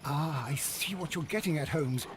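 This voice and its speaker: An actor playing a detective's sceptical English companion in a video game, with a dry, questioning manner. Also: male voice, a little high, moderate pace, noisy background, natural voice. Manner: dry, questioning, gently exasperated. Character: gentleman detective's sceptical, wry male companion. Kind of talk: scripted video game dialogue.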